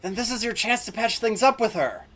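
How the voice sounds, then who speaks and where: A man's voice: sits high, expressive, brisk, a bit bright. A man acting an upbeat, easygoing young groom and best buddy in an audio drama, breezy and exuberant. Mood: reassuring.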